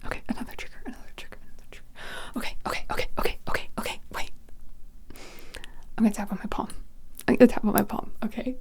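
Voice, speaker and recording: A woman's voice: a little high, steady tone, very fast, gravelly, long pauses, slightly rough. A woman whispering to the viewer as an ASMR creator, flustered and fretful, repeating her phrases over and over.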